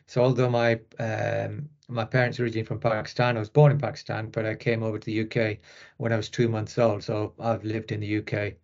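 Northern English man, flat even delivery. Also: fairly low pitch, fairly quick, creaky, muffled recording. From Rochdale, northern England. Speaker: interview guest, sports medicine consultant. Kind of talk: conversational interview answers.

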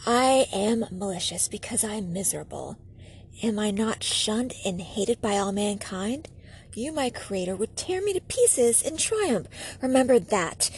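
A woman's voice: fairly high pitch, darkish tone, a little hiss or hum, rough recording. A woman voicing an aggrieved male fiend in a gothic audiobook, formal and insistent in his pleading. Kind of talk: audiobook character reading.

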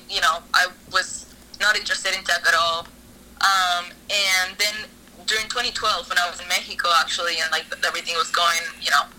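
A very bright, sharp-toned young woman from Argentina talks about her art in a relaxed interview. She rambles, doubles back and fills gaps with little fillers, over a faint background hiss. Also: fairly low pitch, poor audio. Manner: rambling, lots of fillers and restarts. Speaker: interviewee, artist. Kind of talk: casual interview.

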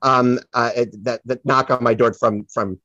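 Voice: mid-range voice, steady tone, moderate pace, fairly bright tone, no room sound, close to an everyday voice. A performer playing an apologetic, flustered psychic in a comedic audio play, stammering through excuses, on a slightly dull recording. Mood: flustered, covering up. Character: flustered, apologetic psychic to the stars, fibbing brightly.

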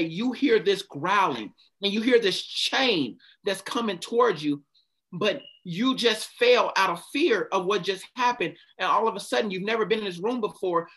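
A man's voice: high-pitched, a bit bright. A man giving a passionate motivational talk, stopping often to ask his listeners questions. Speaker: speaker leading a group talk.

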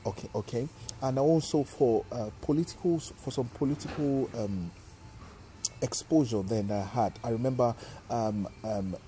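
A man with a Nigerian accent and Yoruba as his mother tongue, a comedian telling stories in an animated, emphatic way during a podcast interview. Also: mid-range voice, moderate pace, slight background noise. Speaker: guest comedian.

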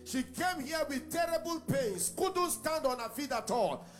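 A man in a church service, briskly reporting a healing testimony to the preacher. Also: very high voice, lively intonation, fairly bright tone. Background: music.

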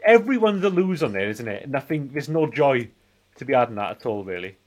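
A man's voice: mid-range voice, very animated, a little fast, darkish tone. A man in his forties rambling through casual film banter as a podcast co-host. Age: 40s.

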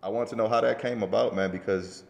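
The deep-voiced guy running a homegrown podcast from a bare, echoing room, slangily hyping up his guest. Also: steady tone, fast, creaky, slight background noise. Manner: slangy, affirming, repeats himself. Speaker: podcast host. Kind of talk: casual chat interview. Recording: echoey, reverberant room.

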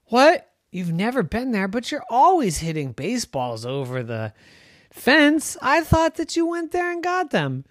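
A woman voicing a scared, excitable little girl who whines, pleads and stammers. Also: fairly high pitch, measured pace, no echo, clean studio sound, pitched above the performer's own voice, full character voice. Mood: pleading. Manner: whiny, pleading, stammering when frightened.

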